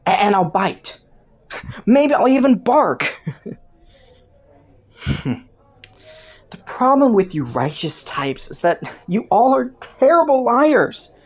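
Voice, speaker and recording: A woman's voice: on the high side, big pitch swings, a little slow. A woman playing a flirty, taunting underworld criminal in a roleplay audio, with a playful, teasing drawl. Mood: teasing, intrigued. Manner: playful, teasing drawl with frequent soft chuckles.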